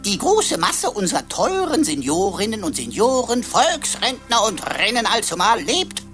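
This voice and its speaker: A woman's voice: on the high side, very animated, normal speed, music in the background. A woman playing a chatty, playful computer sidekick in a radio drama, with a florid, pompous, singsong manner. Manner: florid, rambling, singsong, clownish.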